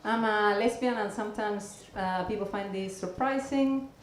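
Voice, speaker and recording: A woman in her thirties from Argentina performing stand-up comedy with a deadpan, measured delivery and pauses. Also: mid-range voice. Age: 30s. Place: live comedy venue, on stage. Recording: echoey room, clear background noise.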